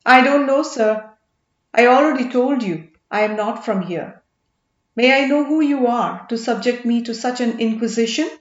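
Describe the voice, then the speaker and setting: A firm, guarded young man hiding his identity as a trader , as a woman reads him. Slightly high, with a roomy echo and a muffled tone to the recording. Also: normal speed, close to an everyday voice. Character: proud young man posing as a gem merchant.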